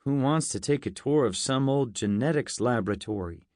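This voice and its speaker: A man's voice: medium pitch, lively intonation, normal speed, a little mellow, dry recording, close to an everyday voice. Guy reading as a skeptical kid who grumbles, comic story, dry close sound. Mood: scornful.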